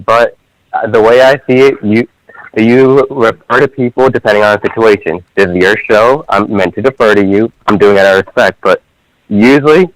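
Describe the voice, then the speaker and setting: Soft-spoken male caller from work. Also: on the low side, normal speed, no room sound, rough recording.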